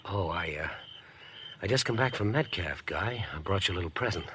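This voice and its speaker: Charming unhinged killer, low lively voice, wheedling, falsely genial, old noisy radio drama. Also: male voice, a little fast. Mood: smug, confiding. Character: smooth, insistent, unhinged wealthy son, a charming murderer. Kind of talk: 1950s American radio drama acting.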